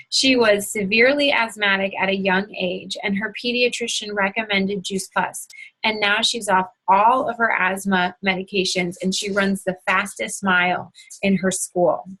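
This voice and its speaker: A woman's voice: fairly bright tone, rough recording. Upbeat woman giving a sales presentation. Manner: upbeat sales pitch, often opens sentences with "so". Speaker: presenter of a product webinar. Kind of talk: promotional presentation talk over slides.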